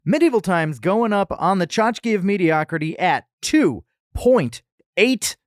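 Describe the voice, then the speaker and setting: Guy cohosting a podcast, jokey casual chat, voice a bit high, really dry close sound. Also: big pitch swings, measured pace, no room sound. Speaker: podcast co-host. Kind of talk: casual banter, restaurant review chat.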